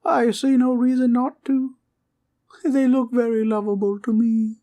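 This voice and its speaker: A woman playing a sweet, innocent talking teddy bear in a comfort roleplay, speaking in a gentle, quaint, reassuring way. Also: on the high side, little pitch movement, a little slow, lightly acted. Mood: innocent, agreeable. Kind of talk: roleplay comfort audio acting.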